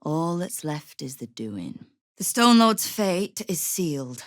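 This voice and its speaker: A tough lady crime boss in a video game, low voice, wry n shrewd, quietly threatening, super dry sound. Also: sing-song, takes it slowly, dry recording, full character voice. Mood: steely, challenging. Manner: wry, controlled, menacing understatement. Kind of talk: video game character dialogue.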